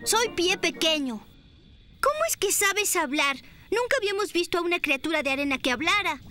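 Very high-pitched young cartoon dinosaur. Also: female voice, expressive, brisk, over music. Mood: earnest. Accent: Latin American Spanish. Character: earnest young dinosaur child. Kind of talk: animated cartoon dub.

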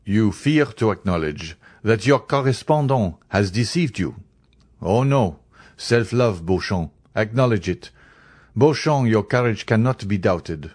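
Audiobook role: impatient young aristocrat , read by a man, proud, wounded, low-pitched, curt and demanding. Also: expressive, a little slow, a little mellow. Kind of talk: dramatised audiobook reading.

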